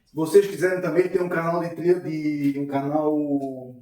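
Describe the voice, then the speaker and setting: A man's voice: on the high side, level tone, normal speed, dark tone. A man hosting a lecture, casually introducing the speaker and talking straight to the viewers. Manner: informal, addresses viewers directly. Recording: echoey hall, mic far off.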